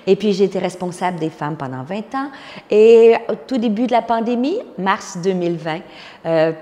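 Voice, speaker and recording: A woman's voice: medium pitch, a little mellow, in a large hall. A woman from Quebec in her fifties with a Québécois French accent, a pastor telling her testimony earnestly, with frequent fillers. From Quebec, Canada. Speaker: pastor and speaker. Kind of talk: personal testimony.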